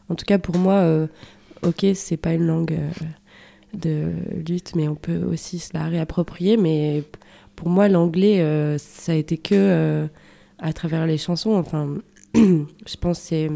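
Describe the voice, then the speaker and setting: A woman's voice: lowish voice, gravelly, mellow. A woman in a casual radio discussion, talking in a casual, frank way. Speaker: radio show panelist.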